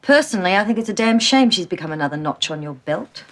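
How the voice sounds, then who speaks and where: A woman's voice: mid-range voice, lively intonation, quick pace, lightly acted. An actress playing a prim, reproachful woman at a hospital, in a soap opera. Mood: tart. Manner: tart, reproachful. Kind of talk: 1990s TV soap acting.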